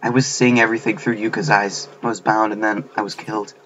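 A man voicing a shaken, solemn schoolgirl who has witnessed murders, in a grave, hushed delivery for a game. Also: on the low side, flat delivery, fast talker, highs cut off, a little hiss or hum, lightly acted.

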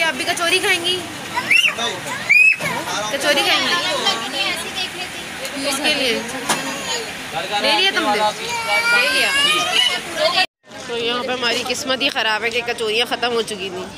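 A young woman talks into a handheld phone, chatting through a casual vlog in a slightly high voice. Loud traffic and street noise fill the background. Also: lively intonation, unhurried, pauses often, a loud crowd. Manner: chatty, running commentary to camera. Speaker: vlogger. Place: outdoors at a busy market street and in a car, then indoors at home. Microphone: handheld phone camera.

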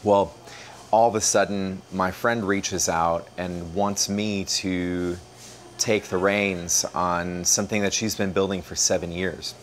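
A man in his thirties with an American accent, explaining in a relaxed way and giving a casual tour of a small bakery kitchen. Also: low-pitched, a little hiss or hum. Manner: explanatory, relaxed, many "uh" fillers. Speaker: bakery owner.

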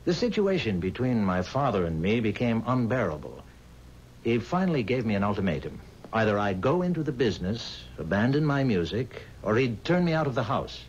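An actor playing a haunted, pleading musician bound to the devil, speaking earnestly and formally in dramatic 1970s radio style. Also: male voice, mid-range voice, sing-song, fairly quick, natural voice. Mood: grave. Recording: dull, hissy 1970s radio recording.

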